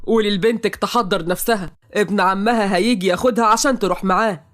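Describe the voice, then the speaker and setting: A harsh Egyptian father who barks orders at his family, loud and unbending, as a woman storyteller puts him on. He talks fast and flat, with no softness. It sounds like an audio story. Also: mid-range voice, lightly acted. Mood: angry. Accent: Egyptian Arabic. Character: cruel domineering father, middle-aged man.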